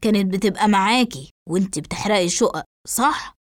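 Woman performing calm male detective, Egyptian accent, lowered pitch, measured questioning, dry studio sound, audio story. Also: no room sound, close to an everyday voice. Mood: calm, probing. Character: calm, probing male police investigator.

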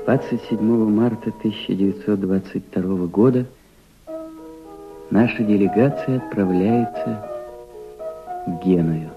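An earnest, eager young diplomatic aide, speaks with respect and a reflective air. His voice sits a little low, with piano music behind it. The old radio drama sound is slightly dull. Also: a little slow, pauses often. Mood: reflective, solemn. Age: young adult. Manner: reflective diary narration, respectful replies. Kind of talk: Soviet radio play acting.

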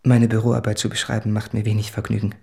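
A man's voice: on the low side, completely flat delivery, quick pace, vocal fry, mellow, slightly rough. An actor playing an anguished, introspective man of about thirty reading out his confessional letters in a literary radio drama. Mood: self-deprecating.

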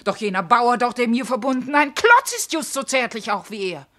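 Sharp, high-voiced scolding servant's wife. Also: female voice, expressive, normal speed. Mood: envious complaint. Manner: shrewish, sharp-tongued. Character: scolding, indignant middle-aged servant's wife. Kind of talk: comic classical verse radio drama acting.

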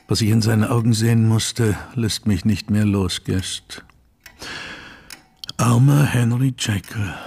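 Slow, husky, grave gentleman. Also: on the low side, full character voice. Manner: measured, formal, brooding. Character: grave, courteous, troubled Victorian gentleman. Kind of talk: German radio play acting.

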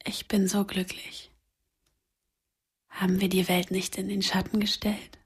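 An actress playing a blunt, teasing, world-weary young woman in a radio drama, with a husky, creaky voice and a frank way of talking. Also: mid-range voice, little pitch movement, measured pace. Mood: intimate whisper.

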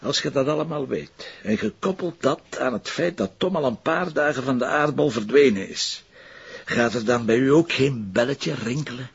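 Flemish tv cop, really raspy n gravelly, pushy and impatient, kinda muffled sound. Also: male voice, medium pitch, normal speed. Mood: pressing, impatient. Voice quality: husky, gravelly. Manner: insistent, explanatory, cuts others off. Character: insistent, impatient male police detective arguing his theory. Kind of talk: TV crime drama acting.

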